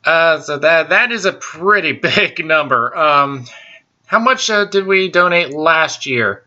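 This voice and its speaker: Slow, halting man with a bright baritone. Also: animated, dull audio. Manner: slow and halting, many ums and restarts. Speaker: podcast host. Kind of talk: solo casual talk show.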